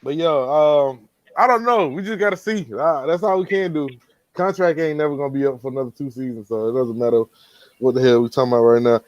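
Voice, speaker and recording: A man's voice: mid-range voice, quick pace, no room sound, dull audio. A man in his thirties hosting a casual sports chat in a laid-back, slangy, joking way. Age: 30s. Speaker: host moderating a fan panel.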